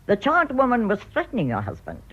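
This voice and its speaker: An actress playing a sharp, wry, no-nonsense older village woman in a radio drama. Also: mid-range voice, huge pitch swings, fairly quick, slightly rough. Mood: knowing. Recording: dull lo-fi radio recording.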